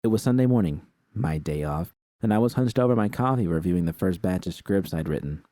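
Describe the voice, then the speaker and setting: A man's voice: low-pitched, animated, fairly quick, warm tone, no room sound, studio quality. A man reading scripted first-person fiction as an audiobook narrator.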